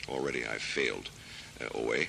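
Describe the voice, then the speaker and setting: An American man in his thirties, a literary translator, answering interview questions in a thoughtful, measured way with many hesitations. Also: a bass voice, vocal fry, sharp tone, slight background noise, rough recording. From United States. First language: English. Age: 30s.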